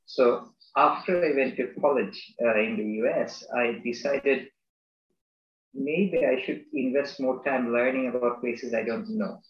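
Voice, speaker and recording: Academic man from Bangladesh, reflective and halting, echoey lo-fi room. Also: medium pitch. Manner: thoughtful, halting, many restarts and fillers. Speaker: business school academic. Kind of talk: conversational interview.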